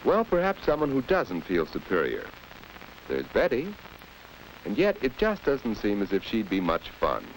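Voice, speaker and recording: A man's voice: lowish voice, a little slow, rough recording. An American man narrating a 1940s instructional film in a guiding, rhetorical manner. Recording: old film soundtrack with steady hiss.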